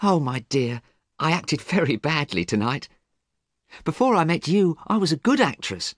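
A man's voice: high voice, very animated, moderate pace, a little mellow, breathy edge, no room sound, studio quality, pitched above the performer's own voice, heavily acted. A man voicing an earnest, lovestruck young actress in an audiobook story. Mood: joyful.

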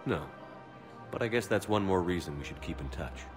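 An actor playing a steady, supportive military commander in his thirties in a video game, calm, direct and reassuring. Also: low-pitched, brisk, music in the background. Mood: warm, easy. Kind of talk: video game cinematic acting.

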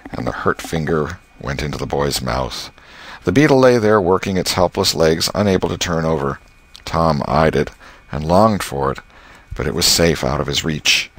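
Deep-voiced man narrating a classic novel. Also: fairly bright tone, no echo. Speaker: audiobook narrator.

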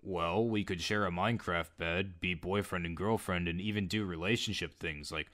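A man's voice: sits low, steady tone, normal speed, a bit bright, voice pushed down. A man voicing a creepy, boastful man in his late twenties with a smug, coaxing manner, for a story video. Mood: coaxing, sinister. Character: creepy, boastful, pushy 29-year-old man.

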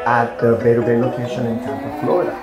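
A man's voice: medium pitch, monotone, fast talker, lo-fi sound. A man in his thirties from Puerto Rico, a hairstylist chatting casually on camera, rambling and searching for words. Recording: roomy sound with music behind.

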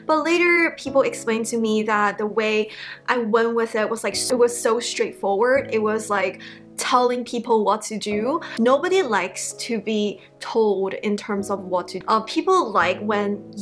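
A woman in her thirties whose first language is Chinese, giving casual study advice to camera from a living-room armchair, chatty and animated. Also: a little high, measured pace, soft background music. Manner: chatty, animated, acts out example emails. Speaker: educator YouTuber.

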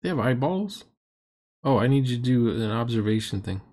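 A man's voice: mid-range voice, expressive, brisk, darkish tone. A middle-aged man giving blunt, mocking commentary as he reacts to a game, in a sarcastic manner. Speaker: host reacting to a recorded roleplaying game.

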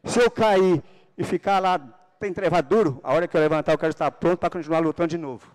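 A man's voice: fairly high pitch, quick pace, smooth. A middle-aged man from Paraná, Brazil, gives a political speech to a council chamber, holding a handheld microphone. He talks with religious fervor and builds to emphatic bursts. The sound comes from a large indoor hall. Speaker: city councilman.

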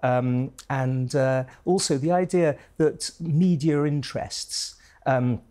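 A man, a historian guest, answering in a measured, hesitant way with frequent ums. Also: medium pitch, mellow. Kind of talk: interview answers.

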